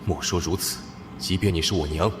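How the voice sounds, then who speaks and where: An actor voicing a fierce, devoted young general in an animated drama, speaking in a commanding and possessive way. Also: male voice, deep voice, brisk, background noise, close to an everyday voice. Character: fierce devoted general, young man, protective elder brother.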